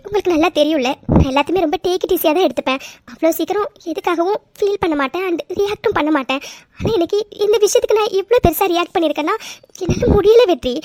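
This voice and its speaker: A woman's voice: very high voice, darkish tone, no room sound, studio quality. A woman acting a distraught, defiant young office worker in an emotional drama, pleading and repeating her words.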